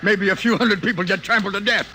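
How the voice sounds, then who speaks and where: Angry, high-voiced, scornful official. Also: male voice, fast, bright, forceful tone. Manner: barking, scornful. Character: angry, contemptuous city official. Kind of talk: old-time radio drama acting. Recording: faintly noisy vintage radio recording.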